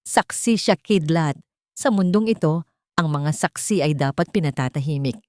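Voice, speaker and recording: A woman's voice: deep voice, lively intonation, normal speed, a little mellow, dry recording. A woman voicing a ruthless, angry grown son who gives cold threats in an audio drama. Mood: cold menace. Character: ruthless angry eldest son hunting his mother.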